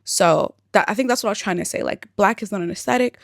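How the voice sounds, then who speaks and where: A woman's voice: medium pitch, fast talker, gravelly. A woman, born in Africa, talking through her thoughts in a reflective personal vlog with frequent ums and likes. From born in Africa, grew up in Australia. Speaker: vlogger.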